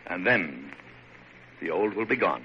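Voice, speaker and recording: A man's voice: sits low, animated, unhurried, highs cut off. An American actor playing a dignified, fatherly president in an old radio drama, speaking in a kindly, stately manner. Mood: kindly, solemn. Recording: noisy, band-limited old radio recording.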